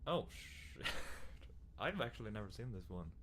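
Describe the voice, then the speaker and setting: Low-voiced man in casual gameplay commentary. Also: very animated, unhurried, fairly bright tone, slight background noise. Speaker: amateur voice actor playing a game.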